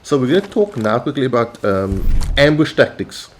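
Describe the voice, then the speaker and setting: A South African man hosting his gaming channel, calmly explaining tactics over gameplay with frequent pauses. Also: mid-range voice, slight background noise. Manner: measured, instructional, with frequent "um" and "uh" pauses. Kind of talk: gameplay commentary.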